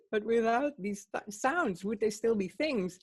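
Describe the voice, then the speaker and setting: Slow, husky woman musing contemplatively. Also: on the high side, expressive. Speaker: book club co-host. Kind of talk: contemplative spiritual discussion.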